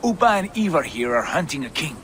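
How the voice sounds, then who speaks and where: An actor playing a confident Viking jarl in a video game cutscene, speaking in a smooth, reassuring way. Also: male voice, a little high, very animated, a little fast, fairly bright tone, a little hiss or hum.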